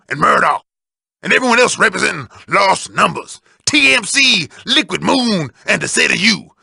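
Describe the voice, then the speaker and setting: Very gravelly, gruff tough guy. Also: sits high, bright, forceful tone, dry recording, clean studio sound, exaggerated voice. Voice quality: very gravelly, raspy.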